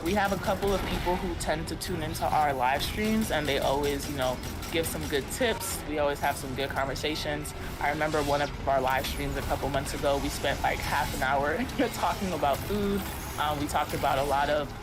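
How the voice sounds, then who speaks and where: Guy hosting a stream, bit high voice, chatty, um-heavy, music playing behind. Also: fairly even intonation, fairly quick. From United States. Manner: upbeat, thanks viewers by name, frequent ums. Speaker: university esports stream host. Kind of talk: live stream chat, reading viewer comments. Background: background music.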